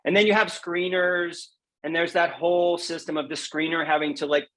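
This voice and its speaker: A man's voice: a little high, fairly even intonation, normal speed, a bit bright, low-quality recording. A man speaking as a poet guest in a casual podcast interview, frank and emphatic, repeating phrases for stress.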